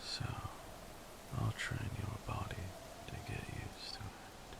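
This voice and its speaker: A man voicing a possessive, tender, seductive vampire lord in an intimate ASMR roleplay, breathy and gravelly, soothing with affectionate condescension. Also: slow pace, heavy background noise, close to an everyday voice. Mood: tender, controlling. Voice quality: breathy, gravelly, half-whispered.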